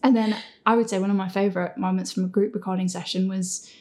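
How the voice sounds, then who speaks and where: A woman's voice: medium pitch, steady tone, fast talker, darkish tone. A woman hosting a casual group question-and-answer chat, prone to giggling as she talks. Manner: giggly, breaks into laughter while talking. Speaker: podcast Q&A host and show creator.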